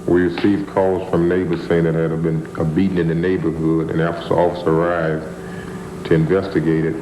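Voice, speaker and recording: A man's voice: low-pitched, flat delivery, brisk. A man giving a formal, factual statement to the press as a police spokesman. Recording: echoey room, loud hum, old tape.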